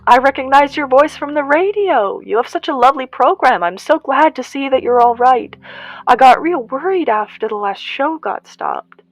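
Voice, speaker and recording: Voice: sits high, expressive, normal speed, dry recording, voice pushed up, low-quality recording. A performer voicing a kindly, effusive woman in her late sixties in an audio drama. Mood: warm, chatty. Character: short, friendly woman in her late sixties, warm gardener.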